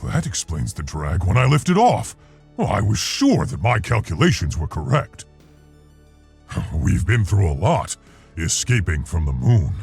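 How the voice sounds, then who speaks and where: A man playing a brainy, gentle gorilla scientist with a gruff voice and a friendly, earnest manner in a video game. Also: lowish voice, heavily acted. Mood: puzzled. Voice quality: gruff, gravelly. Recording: music behind the voice.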